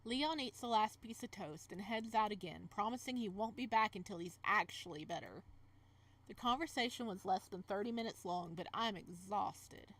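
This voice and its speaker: A woman's voice: mid-range voice, moderate pace, close to an everyday voice. Woman reading as weary, practical male protagonist. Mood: weary, puzzled.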